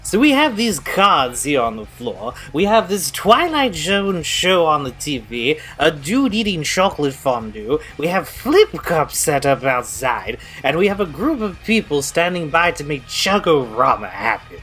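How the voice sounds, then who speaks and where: A man's voice: on the high side, sing-song, a little slow, hardly any pauses, a bit bright. A man playing a drunk, eager college partygoer in an audio drama, rambling and hesitant. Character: really drunk, eager college-age male partygoer explaining games.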